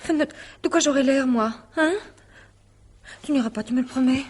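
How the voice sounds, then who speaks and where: A woman's voice: high-pitched, fairly quick, a little mellow, husky. An actress playing an anxious, superstitious young woman, pleading and sulky, in 1950s French radio drama style. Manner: plaintive, sulky.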